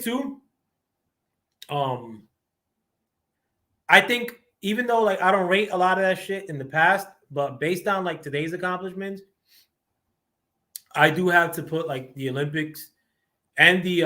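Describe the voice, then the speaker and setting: A man with a squeaky voice ranting casually and profanely on his live stream, rambling with many fillers. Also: a bit bright. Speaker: livestream host. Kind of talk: casual boxing rant.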